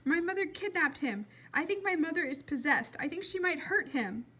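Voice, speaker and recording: A woman's voice: very high voice, expressive, moderate pace, bright, forceful tone, voice pushed up, full character voice. A woman voicing a frantic, exasperated young girl from a storybook, calling out urgently and insistently. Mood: panicked.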